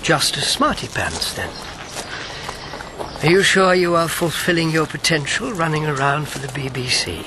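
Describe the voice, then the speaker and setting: An actor playing a witty older British former wartime codemaker in a radio drama, wry, playful and self-deprecating. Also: male voice, fairly high pitch, animated, normal speed, natural voice. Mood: teasing. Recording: clear background noise.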